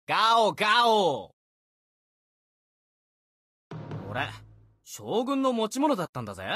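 Brash, bright-voiced young warrior. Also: male voice, sits high, a little hiss or hum, heavily acted. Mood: cheerful, proud. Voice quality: youthful, bright, husky edge. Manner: cocky, casual boyish speech with energetic battle cries. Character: brash, cheerful young male sword warrior, boastful and loyal. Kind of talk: anime-style game character voice lines.

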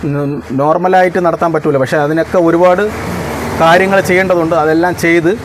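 A middle-aged man talks very quickly in a slightly high voice. He explains his points emphatically and repeats them with insistence, as in an interview. There is faint noise behind him. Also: flat delivery. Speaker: interviewee, homeowner organizing a lucky draw.

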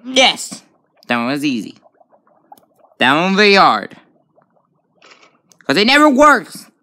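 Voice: medium pitch, huge pitch swings, takes it slowly, sharp tone. A gamer giving casual let's play commentary on a tablet game, reacting aloud with frequent exclamations. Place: indoors at a tablet.

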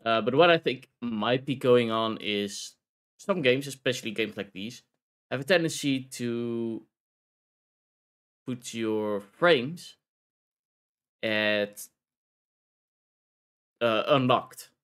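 A man's voice: medium pitch, takes it slowly, pauses often, fairly bright tone. A man from the Netherlands with a Dutch accent, chatting casually and rambling while streaming a video game, laughing often.

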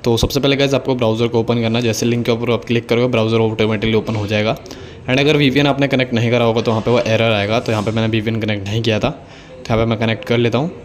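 A man's voice: medium pitch, flat delivery, fast, slight background noise. A man giving a casual, instructional tutorial voice-over, explaining things step by step in a repetitive way. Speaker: video editing tutorial host.

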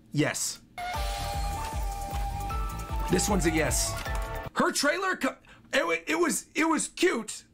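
High-pitched, animated young man reacting. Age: young adult. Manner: effusive, repeats himself. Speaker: streamer reacting to game trailers. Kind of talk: excited live reaction commentary, casual chat. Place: small furnished room at home. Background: game trailer music.

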